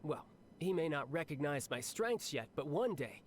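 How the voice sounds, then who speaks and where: A cocky young fighting man from a video game, with a fairly high voice. He talks breezily and confidently, as if chatting, and relishes a fight. There is clear noise behind him. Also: big pitch swings, normal speed. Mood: confident, musing. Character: cocky, battle-hungry, cheerful young male warrior officer. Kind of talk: scripted video game character monologue.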